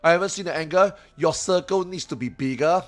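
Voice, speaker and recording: Male streamer, excitable, hoarse from talking, soft music in the background. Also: fairly high pitch, fairly quick. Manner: excitable, swears freely, reacts loudly to chat. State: losing his voice. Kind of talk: casual live-stream chat.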